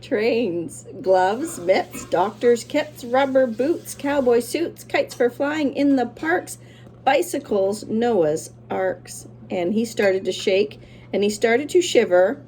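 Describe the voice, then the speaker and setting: A woman's voice: fairly high pitch, expressive, takes it slowly, a little mellow. Woman teacher reading aloud to children. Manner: simple encouraging explanations to young listeners. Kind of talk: children's read-aloud with friendly asides.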